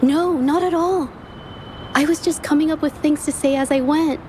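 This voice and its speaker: An actress playing a shy, earnest young woman painter in a game, speaking softly and stammering when flustered. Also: high voice, moderate pace, background noise, lightly acted. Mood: grateful. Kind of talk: game voice acting. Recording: game audio with faint music underneath.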